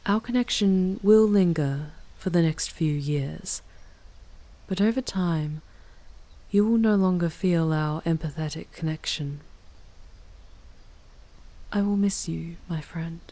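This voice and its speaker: A woman voicing an ancient female demon in an ASMR roleplay, soft and breathy, often near a whisper, speaking formally with tender, wistful slyness. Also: fairly low pitch, no room sound. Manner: slow, formal, tender farewell speech. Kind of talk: ASMR audio roleplay, intimate scripted monologue.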